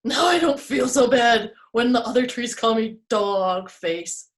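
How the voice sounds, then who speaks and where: A woman's voice: a little high, animated, unhurried. A woman voicing a self-conscious talking birch tree in a children's story. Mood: relieved.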